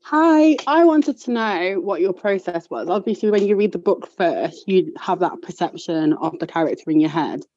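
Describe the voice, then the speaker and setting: A woman asking a polite, measured question from the audience. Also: lowish voice, expressive, a little mellow, no room sound. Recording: dull dry lo-fi sound.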